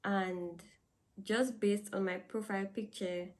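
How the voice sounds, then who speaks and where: A woman's voice: mid-range voice, steady tone, slow pace, darkish tone. Young Dominican woman, casual vlogger, chatty, repeats herself, laughs often. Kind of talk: casual talk to camera.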